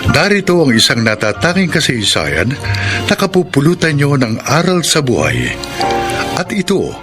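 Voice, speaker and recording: A man's voice: a little high, wildly swooping pitch, normal speed. A man with a Filipino accent delivering formal, dramatic scripted narration as a radio drama announcer. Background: prominent music.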